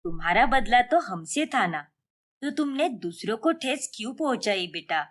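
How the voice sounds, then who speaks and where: A woman voicing a worried middle-aged father who pleads and gently chides. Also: on the high side, big pitch swings, normal speed. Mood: sorrowful.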